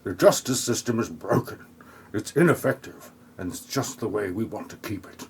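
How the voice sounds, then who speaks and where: A man's voice: on the low side, animated, moderate pace, darkish tone, husky, full character voice. A man playing a smug, callous corrupt executive in an amateur parody audio play.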